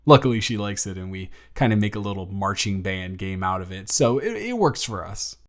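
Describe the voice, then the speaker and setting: A young man playing a grateful single father who talks softly and teases gently in a romantic audio story. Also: lowish voice, lively intonation, brisk, close to an everyday voice. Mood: tender, playful. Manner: soft-spoken, gently teasing. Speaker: audio drama performer.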